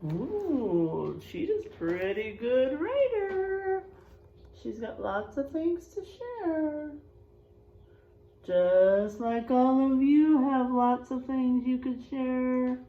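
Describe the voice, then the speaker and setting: A woman teacher reading aloud and chatting to young children in an expressive, encouraging, teacherly way. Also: high-pitched, drawn-out words, narrow, tinny audio. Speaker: first grade teacher. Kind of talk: picture book read-aloud and chat to young pupils.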